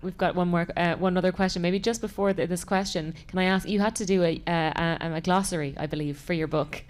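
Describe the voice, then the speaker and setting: A woman's voice: lowish voice, fairly even intonation, brisk. A woman moderating a live panel informally, in a chatty, enthusiastic way.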